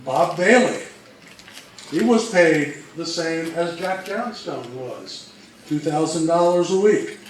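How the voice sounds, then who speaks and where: A man co-presenting a measured, informational talk. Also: fairly high pitch. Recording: very echoey, distant mic, faint noise.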